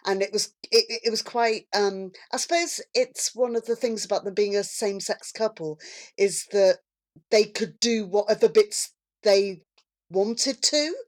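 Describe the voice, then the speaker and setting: A woman in casual chat, commenting in halting, informal phrases. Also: medium pitch.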